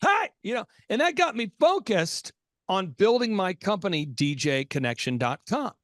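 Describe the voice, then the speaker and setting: A man with a notably high voice hosts a business podcast, talking in a dry, close-miked sound. He is animated and preachy, hammering on catchphrases and now and then breaking into a shout. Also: moderate pace, no echo. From Oklahoma. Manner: animated, preachy, repeats punchlines with sudden shouts. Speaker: podcast host. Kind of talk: energetic business podcast talk.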